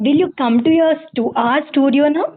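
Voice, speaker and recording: A fairly high female voice comes down a tinny phone line. She plays a prank caller, putting questions in a teasing, mock-formal manner. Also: very slow.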